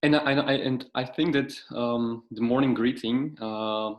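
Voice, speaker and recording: A man in the audience speaks up on a video call. He talks hesitantly, with many pauses and filler sounds, as he thanks the speaker. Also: mid-range voice. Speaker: audience member. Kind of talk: casual comment on a call.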